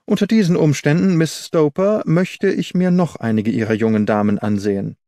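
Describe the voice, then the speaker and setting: A man voicing an eager, politely firm middle-aged English gentleman in an audiobook, formal and persuasive. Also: on the high side, fairly even intonation, moderate pace, no echo, clean studio sound, natural voice. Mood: politely insistent.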